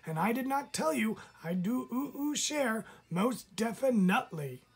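A woman voicing a fussy chattering squirrel in a storybook reading, tut-tutting in a husky voice. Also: a little high, very animated, a little slow, voice pushed up, exaggerated voice. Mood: fussy, reassuring.

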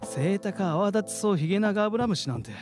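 A man's voice: high-pitched, lively intonation, very fast, darkish tone. A man playing a cocky, carefree, teasing katydid in a theatrical radio drama style. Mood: coaxing. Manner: theatrical, mock-polite turning taunting. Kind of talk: live radio drama acting. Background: music.

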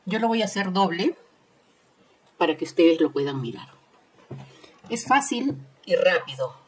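A woman's voice: fairly low pitch, very animated, unhurried, slightly rough, low-quality recording. A woman hosting an informal step-by-step craft tutorial, instructing with repeated phrases and tag questions. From Peru. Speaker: host of a crochet tutorial channel.

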